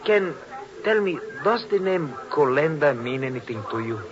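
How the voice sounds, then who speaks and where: A man's voice: on the high side, very animated, moderate pace, a crowd in the background. An actor playing an earnest, idealistic Venezuelan man, confiding in a friend in a 1950s radio drama on a muffled old recording. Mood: worried. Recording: muffled vintage radio, background murmur.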